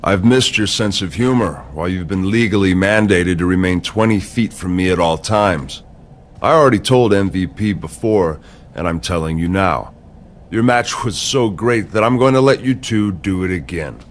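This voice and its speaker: A man's voice: low-pitched, normal speed, natural voice. An actor playing a smug, scheming male wrestling general manager in a video game cutscene, with a condescending, pompous manner.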